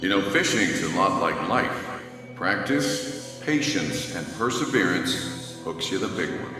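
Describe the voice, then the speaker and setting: A low, folksy male voice as an easygoing dad who teases gently. It rings with heavy echo and long reverb, like a memory scene in a story game. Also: very animated, a little slow, music in the background. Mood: folksy, teasing. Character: easygoing, teasing father on a fishing trip. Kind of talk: dramatic game voice acting.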